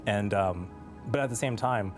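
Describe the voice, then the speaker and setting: A man telling a personal story as an interview guest, speaking in a reflective, measured way with pauses. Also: fairly low pitch, faint music behind. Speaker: interview guest, transplant patient.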